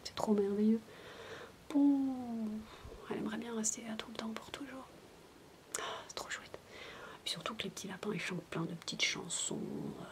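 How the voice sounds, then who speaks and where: A woman in a soft, breathy, mostly whispered ASMR voice, telling a bedtime story as a chatty, hesitant babysitter. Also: on the low side. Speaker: ASMR roleplayer playing a babysitter. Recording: noisy homemade recording without binaural mic.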